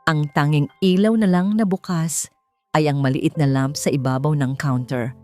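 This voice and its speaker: A woman's voice: deep voice, fairly even intonation, moderate pace, a little mellow. A woman with a Filipino accent narrating a scripted dramatic romance story, as a storyteller. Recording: music under the voice. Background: background music.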